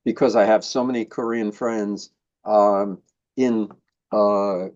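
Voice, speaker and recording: A man's voice: on the low side, steady tone, slow pace, a little mellow, highs cut off. A man, a native English speaker, hosting a casual conversation. He talks thoughtfully and hesitantly, with many fillers when he switches to Korean. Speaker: host.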